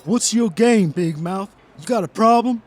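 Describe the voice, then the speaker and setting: A man's voice: sits high, unhurried. A man playing a combative, scrappy tough guy in an audiobook, talking confrontationally. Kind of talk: audiobook character acting.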